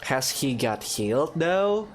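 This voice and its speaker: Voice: medium pitch, big pitch swings, slow, faint music behind. A gaming streamer giving casual, sarcastic, skeptical reactions during a playthrough.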